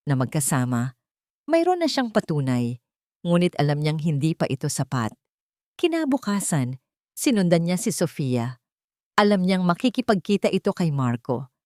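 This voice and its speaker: A woman narrates a scripted drama in a fairly low voice. The sound is dry, with very little room around it. She delivers it as storytelling. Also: darkish tone, no room sound.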